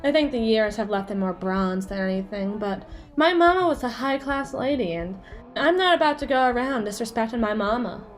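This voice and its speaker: Woman voicing a flirty jealous yandere bestie for asmr roleplay, high voice that swings all over, sassy n teasing. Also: expressive, soft background music, natural voice. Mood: sly, taunting. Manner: teasing, sassy, theatrical, drawn-out emphasis. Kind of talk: ASMR roleplay monologue addressed to the listener.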